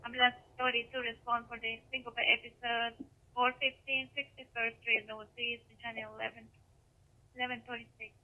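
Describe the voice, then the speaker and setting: Flat, piercingly bright woman radio dispatcher. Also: a little high, normal speed, low-quality recording. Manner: flat, procedural. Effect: radio transmission. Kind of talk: clipped radio dispatch announcements.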